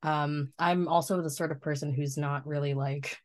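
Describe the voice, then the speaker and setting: Young canadian woman chatting on a podcast, low voice, lots of um. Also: fairly even intonation, moderate pace. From Canada, Ottawa. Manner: chatty, laughs often, many ums. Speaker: podcast guest. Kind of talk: casual podcast chat.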